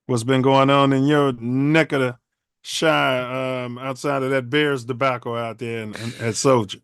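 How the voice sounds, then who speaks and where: A man hosts a casual podcast, rambling along in loose slang. His voice is smooth and clear. The sound is dry and close. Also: medium pitch, little pitch movement, unhurried, fairly bright tone, dry recording. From Chicago. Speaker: podcast host. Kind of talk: casual livestream podcast chat.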